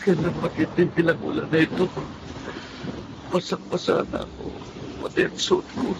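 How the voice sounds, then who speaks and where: A man's voice: fairly high pitch, fairly even intonation, moderate pace, vocal fry, heavy background noise. An actor playing a poor, hungry, mistreated boy in a radio drama, plaintive and timid. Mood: anxious. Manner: plaintive, timid, talking to himself. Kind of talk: dramatic first-person radio storytelling.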